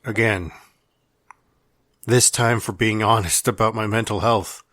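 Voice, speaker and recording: A man reading a personal letter in a reflective, confessional and plainspoken way, with pauses between thoughts. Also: lowish voice, fairly even intonation, a bit bright, no echo, clean studio sound.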